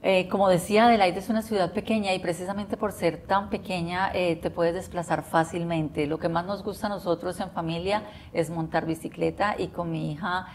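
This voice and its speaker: A woman in her forties from Colombia with a Colombian accent, seated indoors and talking to camera with enthusiasm, often pausing with "eh". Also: on the low side, steady tone, a little hiss or hum. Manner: enthusiastic, frequent hesitations with eh. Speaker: interviewee. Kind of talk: talking to camera about life abroad. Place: bright office room by large windows, indoors.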